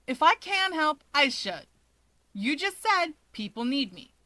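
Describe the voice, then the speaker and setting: A woman's voice: sits high, very animated, unhurried, sharp tone, dry recording, pitched above the performer's own voice, exaggerated voice. A woman voicing a shameless, teasing young man, a cocky younger brother in a fan story, talking emphatically. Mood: defiant, shameless.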